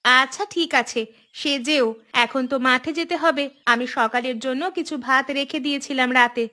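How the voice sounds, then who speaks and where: A high, bright young village wife for a cartoon. She is caring and hardworking, a dutiful daughter-in-law, with music underneath. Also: steady tone, normal speed. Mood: fond, brisk. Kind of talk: Bengali cartoon voice acting.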